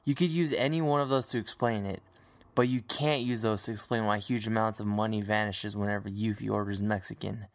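A man narrating a scripted story with wry humor as the narrator. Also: lowish voice.

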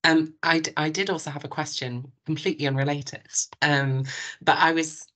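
A woman on a video call who asks a question, speaking tentatively with many pauses and restarts. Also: deep voice, steady tone, a bit bright. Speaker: discussion participant asking a question.

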